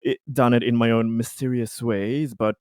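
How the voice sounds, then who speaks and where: A man playing a tabletop roleplaying game, narrating his character off the cuff in a halting, stumbling way. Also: mid-range voice, steady tone, a little mellow, clear, resonant tone, no room sound, clean studio sound.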